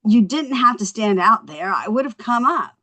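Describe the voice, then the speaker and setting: A woman at a script table read playing a quick-witted young housewife, chatty, coaxing and wry. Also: on the high side, expressive, pushed and bright. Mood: apologetic.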